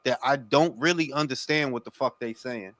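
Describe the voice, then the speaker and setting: A man's voice: medium pitch, a little fast, a bit bright, no room sound. A Dominican man hosting a casual boxing chat, speaking in profane, emphatic slang and repeating himself for emphasis. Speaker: boxing talk show host.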